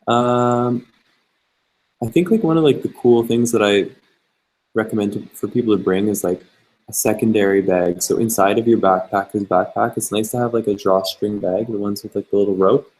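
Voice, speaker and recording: A young man, a travel vlogger, chatting casually and answering viewer questions in a relaxed, rambling way. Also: lowish voice, slightly rough, poor audio. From Canada. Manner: relaxed and chatty, many fillers, reads questions aloud.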